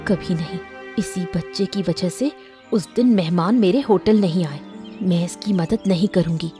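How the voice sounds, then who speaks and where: A woman's voice: fairly low pitch, moderate pace, dark tone, soft background music, pitched below the performer's own voice, lightly acted. A woman voicing a sulky, resentful rival hotel-keeper, speaking curtly in a cartoon story style. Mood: grudging. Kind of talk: Hindi cartoon moral story acting.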